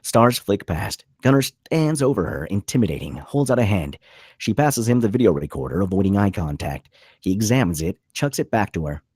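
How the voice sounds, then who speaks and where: A man's voice: fairly low pitch, very animated, normal speed, a little mellow, husky, no room sound, studio quality. A man reading scripted stage directions as the narrator of a screenplay.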